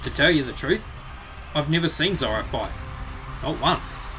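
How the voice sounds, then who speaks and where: A man's voice: on the high side, lively intonation, normal speed, pushed and bright, soft background music, low-quality recording. A man playing a knowing, sardonic fighting-clan member in a visual novel, talking in a wry, conversational way. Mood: explanatory.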